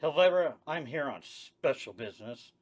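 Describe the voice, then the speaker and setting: A man's voice: a little high, animated, moderate pace, breathy edge. A man voicing a petty, vengeful Santa Claus at a script table read, blustering and indignant.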